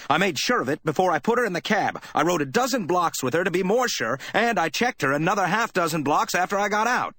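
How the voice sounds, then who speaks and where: A man reading an audiobook, voicing a quick-tempered tough detective who talks loud, harsh and clipped. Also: high-pitched, dry recording, pitched above the performer's own voice, full character voice.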